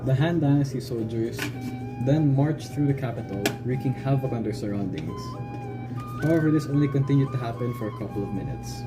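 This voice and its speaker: A man's voice: mid-range voice, lively intonation, fairly quick. Male story narrator over music. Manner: stumbling read-aloud delivery. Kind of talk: scripted narration of a puppet show story.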